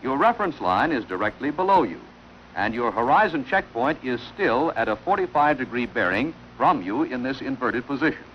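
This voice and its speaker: A man delivering formal, measured instructional narration in the style of a wartime training film, on an old film soundtrack. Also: mid-range voice, animated, muffled recording, slight background noise.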